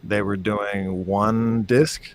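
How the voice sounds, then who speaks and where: A man's voice: lowish voice, fairly even intonation, drawn-out words. A man as a guest musician in a casual video call chat, rambling with many fillers and restarts.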